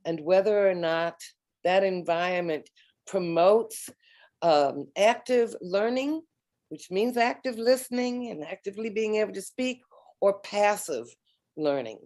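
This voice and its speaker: Slow, mellow-voiced woman on a video call. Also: medium pitch, expressive. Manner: halting, repeats words, many fillers. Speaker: educator and panelist. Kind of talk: online round table discussion.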